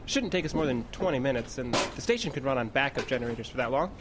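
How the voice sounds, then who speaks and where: A man's voice: medium pitch, lively intonation, fast talker, noisy background, lightly acted. A man playing a practical, laid-back station technician in an audio drama, matter-of-fact and dry.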